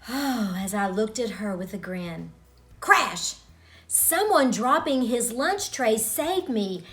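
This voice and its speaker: A woman reading a story for children in an expressive way, as a storyteller speaking directly to young listeners. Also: on the high side.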